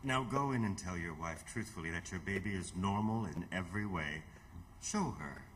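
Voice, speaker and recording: An actor playing a gentle, enigmatic doctor, measured and probing, in old-time radio theatre. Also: male voice, low voice, expressive. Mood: reassuring. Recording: loud background noise and ambience.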